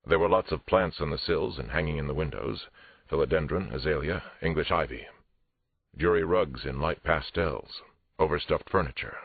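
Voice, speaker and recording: A man's voice: moderate pace, full character voice. A man reading a first-person audiobook thriller as a police detective, tense, restrained and dramatic. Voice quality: very deep, husky. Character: male police detective narrator, angry but controlled. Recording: roomy, muffled phone-like audio.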